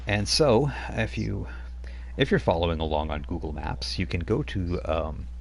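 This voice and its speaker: Deep-voiced game master, rambling. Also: male voice, big pitch swings, moderate pace, creaky. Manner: loose, rambling scene-setting with casual asides. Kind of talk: narrating a tabletop game.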